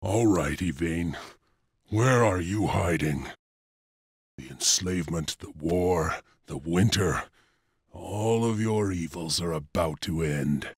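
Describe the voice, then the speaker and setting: An actor playing a grim, resolute clan leader in a fantasy game, speaking solemnly and with determination. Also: male voice, low-pitched, slow pace, breathy edge, no room sound, studio quality, full character voice. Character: grim, resolute male wolf clan leader and rebel hero. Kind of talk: dramatic fantasy game voice acting.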